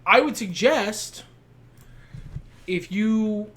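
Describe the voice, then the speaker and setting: A man's voice: sits high, expressive, very slow, fairly bright tone. A man co-hosting a casual chat while playing a wrestling booking game, explaining plans step by step.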